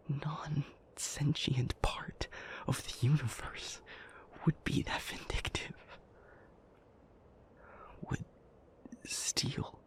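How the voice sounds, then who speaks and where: A man playing an intense, anguished demon in an intimate audio roleplay, speaking in breathy, halting, deliberate phrases. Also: medium pitch. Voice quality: breathy, mostly whispered. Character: intense, anguished male demon, brooding and reasoning aloud.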